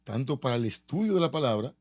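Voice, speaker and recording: A man conducting a conversational interview, speaking in hesitant phrases with pauses. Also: mid-range voice, lively intonation, darkish tone, husky.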